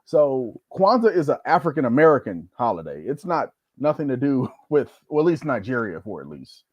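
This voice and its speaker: A man's voice: medium pitch, very animated, moderate pace, slightly rough, no echo. A man chatting as a podcast guest, telling casual stories in loose, conversational phrasing. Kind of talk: casual storytelling chat. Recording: dry, slightly dull lo-fi audio.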